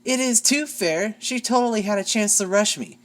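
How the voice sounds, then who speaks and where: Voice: mid-range voice, lively intonation, moderate pace, pitched above the performer's own voice, lightly acted. A reader voicing a brash, blustering heroine who is a space commander. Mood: indignant.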